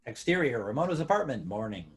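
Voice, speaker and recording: A man's voice: mid-range voice, big pitch swings, fast. A man reading out screenplay scene headings in short scripted phrases at a table read. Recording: faint background noise.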